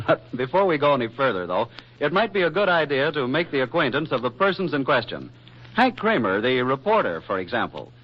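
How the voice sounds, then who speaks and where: A man's voice: medium pitch, very animated, brisk. An actor as the genial narrator of a 1940s radio drama, polished and theatrical as he addresses the listeners. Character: genial storytelling radio announcer. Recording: dull, lo-fi 1940s radio recording. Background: music.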